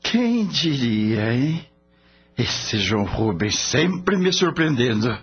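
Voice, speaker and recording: An actor playing a naive, curious young man new to the spirit world in a radio drama, husky, wondering and hesitant. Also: medium pitch, very animated, slow, exaggerated voice. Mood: puzzled. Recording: dull lo-fi audio with background music.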